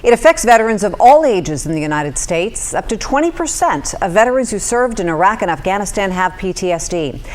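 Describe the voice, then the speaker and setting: A woman news anchor reading a story introduction in a crisp, steady way. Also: medium pitch, brisk, hardly any pauses.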